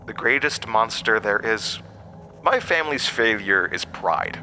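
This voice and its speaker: An ancient, scheming monster in a horror audio drama, with a low and piercingly bright, forceful voice. He is theatrical and insinuating, leaning on key words to tempt and scorn. Faint noise sits behind the voice. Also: expressive, a little slow, full character voice. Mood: scornful, grand.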